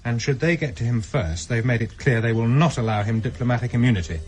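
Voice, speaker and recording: An actor as brisk, husky intelligence officer. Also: male voice, mid-range voice, darkish tone, natural voice. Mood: brisk, frustrated. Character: brisk British intelligence officer, loyal, assured. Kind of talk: radio drama briefing dialogue.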